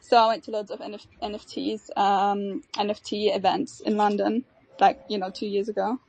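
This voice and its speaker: A woman's voice: medium pitch, flat delivery, moderate pace, creaky, a little mellow, no room sound, low-quality recording. A young woman from Austria, a native German speaker, chatting casually as a guest co-host, giggling often and talking with many fillers. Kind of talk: casual live audio chat.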